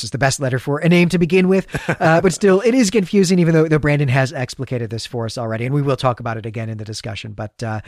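A man with a medium-pitched voice co-hosts a book discussion. He is articulate, bookish and keen, talking through a story in semi-prepared fashion. The sound is close and dry. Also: no room sound. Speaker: podcast co-host.

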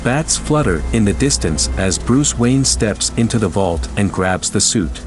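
A man's voice: on the low side, lively intonation, a little slow. A man giving scripted narration for an audio drama. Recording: music clearly behind voice.

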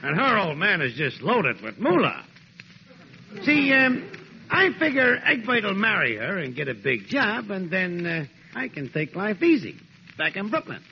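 A smug, scheming working-class father in 1940s radio comedy. His high voice is creaky and very animated. The old recording is muffled and clearly noisy. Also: unhurried, heavily acted.

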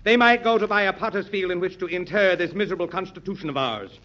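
A man's voice: high-pitched, quick pace, sharp tone. An actor playing a proud, hot-headed, indignant American statesman in 1940s radio drama style. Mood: aggrieved. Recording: dull old radio recording.

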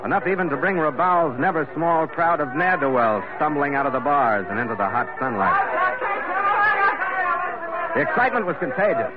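An actor playing a hardy, wry sea captain, narrating in a hard-boiled, clipped manner as in a 1940s radio adventure. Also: male voice, on the high side, sing-song, normal speed, a loud crowd. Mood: wry, storytelling. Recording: muffled old radio broadcast, loud music.

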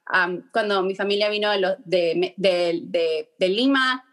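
Woman, young adult, guest, halting, fillers and restarts, casual interview. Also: medium pitch, fairly bright tone. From Peru. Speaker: guest, journalist.

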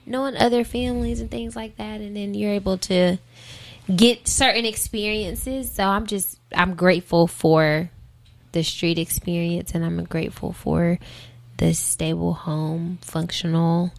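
An American woman with a slightly low voice talks in a casual interview. She is informal and reflective, working out her answers aloud as she goes. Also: steady tone, a little slow, creaky. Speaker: interview guest, singer and rapper.

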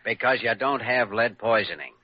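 An actor playing a brisk, probing young hospital doctor in a 1940s-style radio drama. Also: male voice, mid-range voice, husky, close to an everyday voice. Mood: firm. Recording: dull, noisy old radio recording.

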